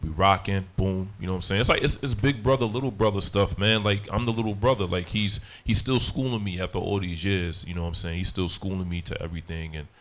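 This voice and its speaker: Deep-voiced man in loose slangy chat. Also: fast, few pauses, fairly bright tone, a little hiss or hum. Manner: slangy, repeats "you know what I'm saying". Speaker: rapper, guest. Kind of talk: casual interview chat.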